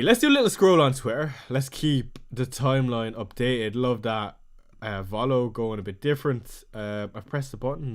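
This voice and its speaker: This is a man with a strong Irish accent running a live fantasy football stream. He talks in an easygoing, chatty way, reading out viewer questions and firing back short answers. His voice sits at a middling pitch for a man, recorded close on a desk mic. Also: fairly bright tone. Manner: chatty, reads viewer messages aloud and answers them quickly. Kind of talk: casual live stream chat.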